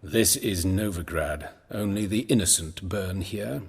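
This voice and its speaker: An actor playing an erudite, steely surgeon in a video game, speaking formally and precisely in a husky low voice. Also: male voice, measured pace, big-room sound, exaggerated voice. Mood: grave. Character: composed, erudite male surgeon with steely resolve.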